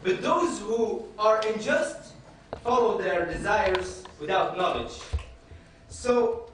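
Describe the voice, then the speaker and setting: A man in his thirties, raised in Belgium with Dutch as his first language, giving an earnest, measured lecture from a panel table in a hall, often restarting his phrases. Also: high voice, animated, slight background noise. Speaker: panelist speaker. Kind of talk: public lecture. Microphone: table microphone.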